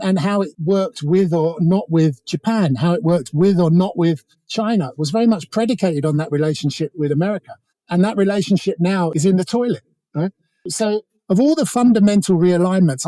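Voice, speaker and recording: A man's voice: high-pitched, mellow, no room sound. A man speaking as an interview guest, giving informal, discursive analysis with a wry edge. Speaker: interview guest, author on North Korea.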